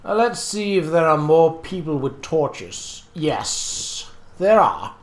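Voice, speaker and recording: A man's voice: a little high, sing-song, slow, roomy sound. A man casually commenting on his game as he plays, chatty and easily distracted, thinking aloud. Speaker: Let's Play host.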